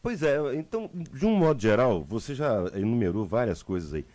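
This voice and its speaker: A man's voice: mid-range voice, big pitch swings, fairly quick, a little mellow, no echo. A man hosting a talk show, interviewing a guest and summing up her points with short quips. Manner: brief agreeing interjections, sums up with quips. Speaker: radio talk show host.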